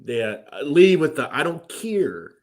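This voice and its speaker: A man's voice: on the high side, big pitch swings, unhurried, slight background noise. A man in casual crosstalk chat, teasing and goading another speaker.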